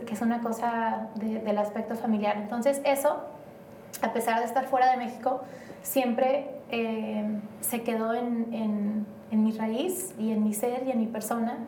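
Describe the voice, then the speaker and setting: A woman speaks as an interview guest, her voice mellow and set a little high. She wanders through her thoughts with plenty of fillers and restarts. The recording is roomy and a bit distant from the mic, with faint noise underneath. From Mexico. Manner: rambling, hesitant, many fillers. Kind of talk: casual interview conversation.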